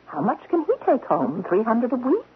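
A woman's voice: a little high, sing-song, moderate pace, slightly rough, full character voice. A woman playing a mocking, confident goddess of thieves who coaxes, in a radio drama. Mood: coaxing, scornful. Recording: tinny, noisy old radio recording.

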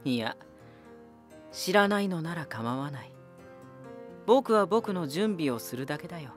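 An actor playing a proud, ominous lighthouse spirit hardened by war, deliberate, cryptic and condescending, in a dramatic voice drama. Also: male voice, on the high side, expressive, faint music behind. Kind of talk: dramatic Japanese voice drama acting.

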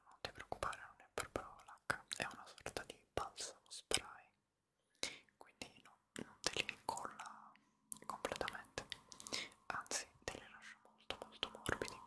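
A young man whispers very close to the mic. His delivery is slow and breathy, with no voiced tone. He plays a reassuring barber in an ASMR roleplay, softly repeating his words. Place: small dim room indoors. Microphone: close studio microphone in front of him.

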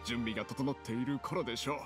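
A man's voice: medium pitch, steady tone, natural voice. A man voicing a devoted, courteous retainer in a Japanese anime game, speaking politely and formally, with music behind him. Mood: solemn. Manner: polite, formal, measured phrasing. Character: courteous, devoted gentleman retainer to his boss.

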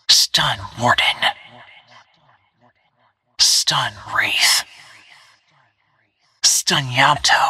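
Stealthy cold assassin lady for a shooter game, breathy half whisper, really slow clipped callouts. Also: rumbling low, full character voice. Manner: terse, hushed, deliberate. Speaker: playable video game character. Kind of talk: scripted video game callouts.

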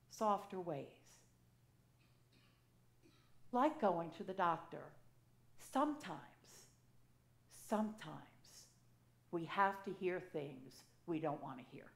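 Storytelling woman priest in echoey church. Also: mid-range voice. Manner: conversational, personal storytelling with gestures. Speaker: assisting priest. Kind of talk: sermon. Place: church sanctuary, at a pulpit. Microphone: gooseneck pulpit mic.

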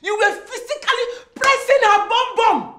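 A woman's voice: mid-range voice, sing-song, measured pace. A woman with a Nigerian accent playing an indignant, jealous wife in a comedy film, confronting with repeated rhetorical questions. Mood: outraged. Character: indignant, jealous wife scolding her cheating husband. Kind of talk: Nollywood comedy film acting.

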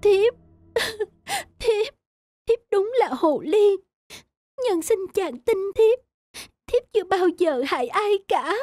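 A woman voicing a gentle, devoted, sorrowful young fox-spirit wife in a cartoon fairy tale. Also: very high-pitched, animated, slow, dry recording, studio quality, full character voice. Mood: tearful pleading.

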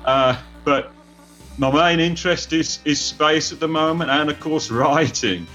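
British man, halting podcast talk. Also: fairly high pitch, bright, forceful tone, soft background music. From United Kingdom. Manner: hesitant, lots of "uh" fillers. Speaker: author and panelist. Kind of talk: casual podcast discussion.

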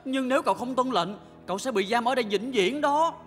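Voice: high voice, fast, music in the background, natural voice. An actor playing an earnest, kindly young boy prince in a Vietnamese cartoon dub, pleading and sincere.

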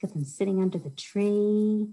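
A woman's voice: medium pitch, moderate pace, mellow, low-quality recording. A middle-aged American woman hosting a children's storytime, reading and chatting simply to young listeners. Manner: simple, child-directed talk with questions to listeners. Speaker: children's librarian, storytime host. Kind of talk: children's storytime read-aloud with chatty asides. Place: indoors, small wood-panelled room. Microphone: webcam or laptop at arm's length.

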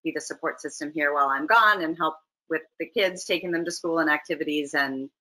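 A woman's voice: deep voice, brisk, pushed and bright, rough recording. A woman in her forties from Canada, chatting easily as a guest on a video call interview, laughing often. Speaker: actress guest. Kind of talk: casual interview chat. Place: home room, video call.

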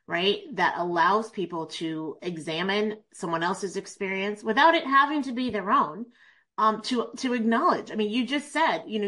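A woman's voice: fairly low pitch, sharp tone. A woman in her forties joining a panel discussion by video call, speaking emphatically and persuasively.

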